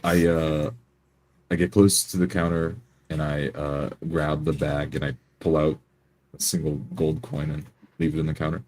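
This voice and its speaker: A man improvising first-person narration as a tabletop roleplay player, speaking in a halting, hesitant way. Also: very deep voice, flat delivery, darkish tone.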